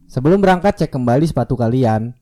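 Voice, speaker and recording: A man's voice: medium pitch, animated, fast talker, warm tone. A young man with a Jakarta Indonesian accent, chatting casually and giving tips on a podcast. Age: young adult. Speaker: podcast participant.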